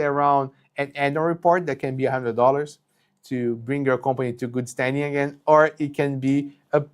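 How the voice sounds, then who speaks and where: A man in his thirties whose first language is Portuguese, answering viewer questions in a live session with many fillers and restarts. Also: mid-range voice. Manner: conversational, many fillers and restarts, checks in with "okay?". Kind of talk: live Q&A explaining business rules. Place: home studio with shelves and green lighting.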